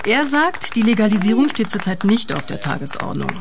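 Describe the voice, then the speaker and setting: Brisk female talk-show host. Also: medium pitch, big pitch swings, background noise. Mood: poised. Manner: crisp, controlled, formal. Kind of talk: radio drama talk-show moderation.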